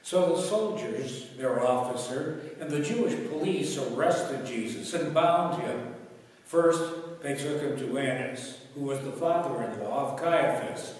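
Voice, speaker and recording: Older man, solemn scripture reader, measured delivery, long church reverb, distant microphone. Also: mid-range voice. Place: brick-walled church sanctuary at a lectern.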